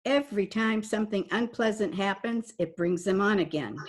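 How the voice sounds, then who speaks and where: A woman's voice: mid-range voice, moderate pace, natural voice. A woman playing a polite, earnest teenage schoolgirl in an old-time radio comedy, recorded over a remote call. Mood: polite concern. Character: polite teenage schoolgirl, principal's daughter, dutiful.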